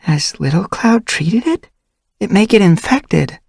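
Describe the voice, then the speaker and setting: A man's voice: high voice, animated, measured pace, vocal fry, darkish tone, slightly rough, dry recording, clean studio sound, pitched above the performer's own voice, full character voice. A man voicing a young, curious female apprentice cat in a storybook, asking things in an anxious, inquisitive way.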